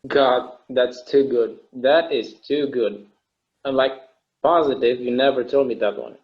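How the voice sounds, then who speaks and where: A man acting a reflective immortal character in a naturalistic table read, speaking in a halting, earnest, conversational way. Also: mid-range voice, natural voice. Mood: amused. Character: wistful, reflective immortal man confiding in his partner. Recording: slightly dull, lo-fi sound.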